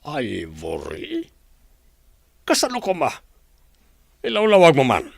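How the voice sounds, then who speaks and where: Radio drama father, male actor: stern, older Ilocano man, reproachful, swinging pitch, dry close sound. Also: wildly swooping pitch, slow pace, gravelly, no room sound, full character voice. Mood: questioning.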